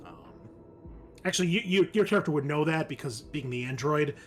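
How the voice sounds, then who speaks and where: A man running a tabletop roleplaying game, narrating and explaining casually in a halting way. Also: fairly high pitch, animated, fairly bright tone, soft background music. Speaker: game master.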